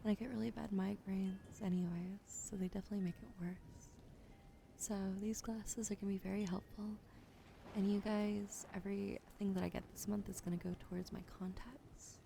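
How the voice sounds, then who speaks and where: Soft whispering young woman, gravelly edge. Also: medium pitch, level tone, noisy background. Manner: soft unvoiced whisper, slow and soothing. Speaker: ASMR streamer. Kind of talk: whispered ASMR chat with viewers.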